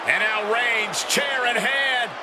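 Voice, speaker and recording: Male wrestling commentator, very high raised voice, emphatic, dramatic, roaring arena crowd behind. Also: takes it slowly. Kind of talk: excited live sports commentary. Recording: loud arena crowd, noisy game capture.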